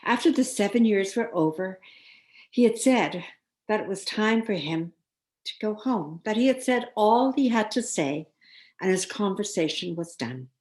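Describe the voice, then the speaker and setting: A woman reads a children's story aloud at an unhurried pace. She is an expressive storyteller who leans on key words for dramatic emphasis, in a mid-pitched voice.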